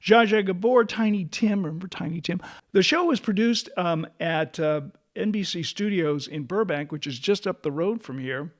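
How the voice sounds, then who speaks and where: A man's voice: high-pitched, moderate pace, few pauses. A middle-aged or older American man co-hosting a casual, nostalgic talk show with a bantering, conversational manner. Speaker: podcast co-host.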